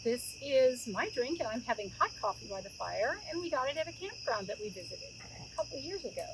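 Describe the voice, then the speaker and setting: A woman's voice: on the high side, sing-song, brisk. A middle-aged woman hosting a children's story time, chatting informally to young listeners and addressing them directly. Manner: conversational, addresses listeners with questions. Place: outdoors by a campfire in woods. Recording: outdoor recording with clear insect noise. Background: crickets and insects, outdoor ambience.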